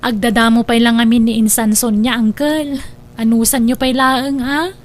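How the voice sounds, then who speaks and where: A woman's voice: fairly high pitch, steady tone, normal speed, close to an everyday voice. A woman with an Ilocano accent playing a patient, helpful manicurist niece in a comedy drama. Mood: easygoing, placating. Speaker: drama actress.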